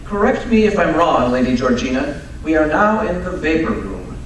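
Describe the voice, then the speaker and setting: Male actor for brisk Victorian detective in old-time radio drama, slightly high voice, drily authoritative, distant and faintly noisy recording. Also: very animated. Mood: precise, explanatory. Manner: precise, commanding, drily teasing. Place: live stage performance at radio club event. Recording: very echoey hall, distant mic, faint noise.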